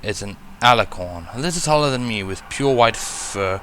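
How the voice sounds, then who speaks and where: A man's voice: lowish voice, animated, normal speed, a bit bright, slight background noise. A man narrating a first-person story expressively, as a fan fiction reader. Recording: music behind the voice. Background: music.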